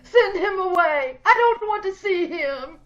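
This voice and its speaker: A woman's voice: very high voice, unhurried, fairly bright tone. A woman playing an anguished recluse in an old-time radio drama, crying as she speaks. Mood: weeping, distraught. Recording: muffled lo-fi call with clear noise.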